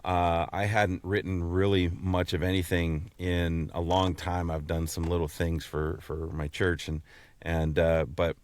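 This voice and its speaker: Halting, low-voiced male podcast host. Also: level tone, darkish tone. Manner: halting, repeats words as he explains. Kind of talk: casual chat.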